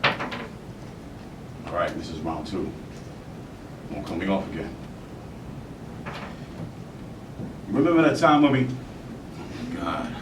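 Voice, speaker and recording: A man acting a dramatic monologue as an embittered, self-loathing father, confrontational and halting, repeating phrases for emphasis. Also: big pitch swings, exaggerated voice. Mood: sardonic, simmering. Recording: distant roomy mic, noisy rumble.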